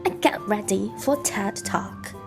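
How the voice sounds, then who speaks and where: A woman's voice: mid-range voice, unhurried, gravelly. A woman narrating a documentary in a scripted voice-over with a playful, teasing tone. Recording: music underneath.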